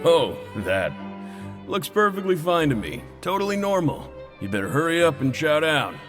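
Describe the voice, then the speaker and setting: A man's voice: on the high side, very animated, moderate pace. Dismissive male prison worker, game NPC: curt, offhand, varied inflection, prominent music underneath. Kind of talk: video game voice acting.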